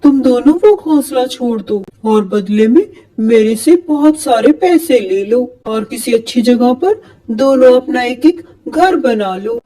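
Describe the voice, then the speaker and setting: A woman voicing a male owl king who judges the birds in a moral-story cartoon, measured and commanding. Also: fairly high pitch, expressive, dark tone, rough recording.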